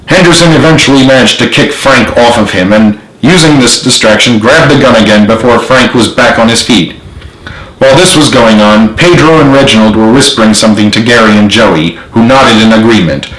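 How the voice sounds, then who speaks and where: A man's voice: medium pitch, a little fast, fairly bright tone. A man reading his own novel aloud as author, in dramatic storybook narration. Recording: roomy, echoey home recording.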